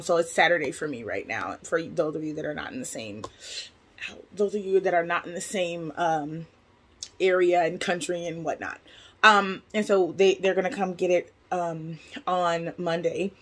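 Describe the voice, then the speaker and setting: An American woman casually telling a personal story to her viewers, animated and slangy, voicing both sides of conversations as she goes. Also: fairly low pitch. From United States. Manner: animated, slangy, conversational, acts out reported dialogue. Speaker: vlogger.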